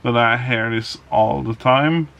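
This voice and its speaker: A man with a Norwegian accent, a native Norwegian speaker, talking hesitantly in a casual vlog with many pauses and restarts. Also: mid-range voice, vocal fry, a bit bright, muffled recording. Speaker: vlogger.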